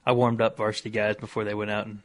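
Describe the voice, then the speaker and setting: A man's voice: on the low side, flat delivery, fast talker. An American man telling a casual, confessional story in a self-deprecating manner.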